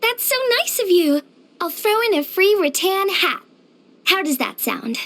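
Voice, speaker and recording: A woman playing a cheerful, easygoing young craftswoman in a video game, with a gentle, chirpy, earnest manner. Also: very high voice, sing-song, unhurried, full character voice. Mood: delighted. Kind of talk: video game character voice acting.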